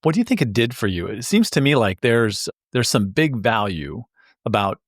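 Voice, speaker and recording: A man's voice: mid-range voice, very animated, normal speed, no room sound, studio quality. Upbeat man hosting a podcast. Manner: upbeat and enthusiastic, restarts words. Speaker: podcast host. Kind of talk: casual interview chat.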